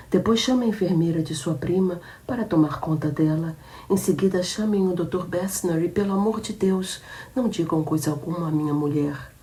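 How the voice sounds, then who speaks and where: A woman's voice: lowish voice, warm tone, far from the mic, lightly acted. Woman reading a wounded man's urgent murmur. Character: wounded young man, pained, urgent, keeping calm.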